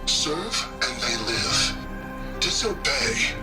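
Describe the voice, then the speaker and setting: A man playing a cold, menacing killer in a video game, heard as echoey playback under loud music. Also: mid-range voice, sing-song, extremely slow. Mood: threatening. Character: menacing, cold male killer issuing threats. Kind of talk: video game dialogue acting.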